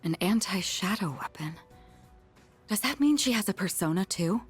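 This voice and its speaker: A woman playing a composed, commanding young team leader in a video game, with a husky voice. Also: mid-range voice, expressive, normal speed, soft background music. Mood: intrigued.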